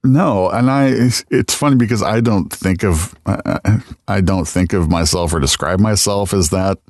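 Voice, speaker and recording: A middle-aged man, a radio voice talent, chatting casually in an interview, relaxed and self-deprecating, with many fillers. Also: deep voice, normal speed, dry recording, studio quality. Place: podcast studio.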